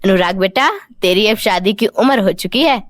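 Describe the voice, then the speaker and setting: A woman's voice: mid-range voice, moderate pace, no echo, studio quality, voice pushed down, close to an everyday voice. A woman voicing a caring older mother-in-law, the head of the household, in a cartoon story. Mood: gently probing.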